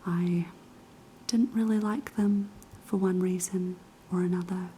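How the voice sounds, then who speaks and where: Young NZ woman, raspy post-sickness whisper, slow ASMR delivery, dark mellow tone. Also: lowish voice, steady tone, slight background noise, low-quality recording. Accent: New Zealand. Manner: soft, unhurried whispering with many ums. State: hoarse after being sick. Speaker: ASMR creator. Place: kitchen of a quiet flat.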